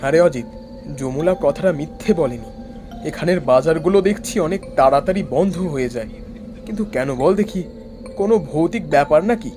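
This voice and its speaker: A man playing an excitable, chatty young traveller in a horror cartoon. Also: fairly high pitch, moderate pace, music in the background, close to an everyday voice. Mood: curious, chatty.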